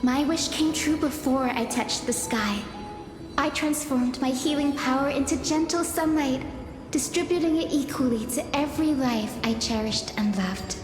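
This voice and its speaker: A woman voicing a gentle, selfless young healer with soft, serene, tender delivery in a fantasy game. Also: high-pitched, moderate pace, lightly acted. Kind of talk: dubbed fantasy game dialogue. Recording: long reverb with loud music.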